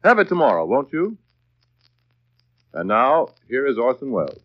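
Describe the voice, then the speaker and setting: A man's voice: mid-range voice, huge pitch swings, moderate pace, dry recording. A man announcing and selling in polished, emphatic, folksy 1930s radio advertisement style. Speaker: radio announcer and sponsor pitchman. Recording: dull vintage 1930s radio recording.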